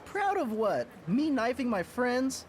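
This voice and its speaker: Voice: medium pitch, big pitch swings, slow, a little hiss or hum. A performer playing an earnest, defiant fifteen-year-old boy superhero in a motion comic. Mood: sullen. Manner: earnest, plain-spoken.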